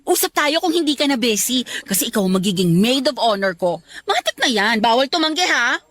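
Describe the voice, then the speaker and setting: A woman's voice: a little high, sing-song, a little fast, a bit bright, no echo. A Filipino woman playing a chatty, clingy young bride-to-be in a Tagalog radio romance drama, gushing and teasing. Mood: friendly.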